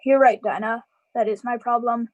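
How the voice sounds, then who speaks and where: A woman's voice: on the high side, fairly even intonation, muffled recording, lightly acted. A woman playing a lonely, sad uncle in a live radio drama, hesitant and evasive. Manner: hesitant, halting.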